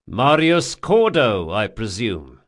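A man narrating an audiobook, voicing a brash, sardonic thief who speaks forcefully, with menace and exasperation. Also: lowish voice, very animated, slow pace, exaggerated voice. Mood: sardonic, cocky. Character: brash, sardonic male thief, threatening, foul-mouthed.

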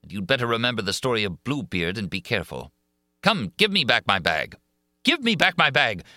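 Man playing a jealous mocking monster, bright edge, lively swings. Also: on the low side, fairly quick, no echo, clean studio sound, heavily acted. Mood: menacing. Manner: angry, mocking. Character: menacing jealous male monster.

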